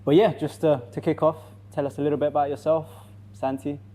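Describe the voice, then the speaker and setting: A man hosting a podcast interview, asking his guest questions in a relaxed way. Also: fairly high pitch, fast. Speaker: podcast host. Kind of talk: casual interview.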